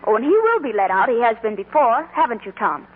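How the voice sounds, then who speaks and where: A woman's voice: fairly high pitch, very animated, quick pace, breathy edge, phone-quality sound. An actress playing an earnest, big-hearted young shopgirl in a 1940s radio drama, on an old broadcast recording. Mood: impassioned, pleading.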